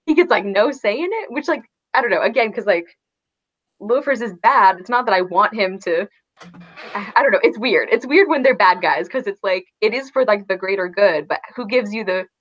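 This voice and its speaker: A woman's voice: medium pitch, expressive, moderate pace, fairly bright tone. Woman, casual book discussion panelist, hesitant thinking-aloud delivery, slightly muffled lo-fi audio.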